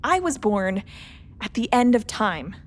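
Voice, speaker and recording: Jaded female pilot, slow n sardonic, kinda high voice, sci-fi radio drama. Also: very animated. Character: cynical, jaded female second pilot in space. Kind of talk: radio play monologue.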